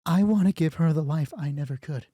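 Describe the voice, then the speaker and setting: A man voicing a career-driven wife who defends her work in a measured, insistent way in an audio drama. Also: a little high, warm tone, dry recording, clean studio sound, natural voice.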